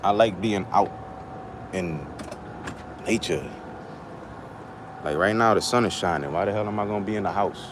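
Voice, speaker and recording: A middle-aged Trinidadian man talks on a livestream in a blunt, rambling way, swearing as he goes. His voice sits a little low. The street noise of an outdoor spot stays clearly audible behind him. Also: normal speed, pauses often. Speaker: livestream host. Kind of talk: casual livestream rant.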